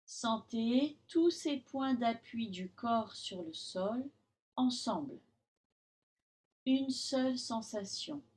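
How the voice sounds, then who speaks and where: A woman yoga teacher guiding a relaxation session in calm, instructional short phrases with long pauses. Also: on the high side. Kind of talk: guided Yoga Nidra relaxation.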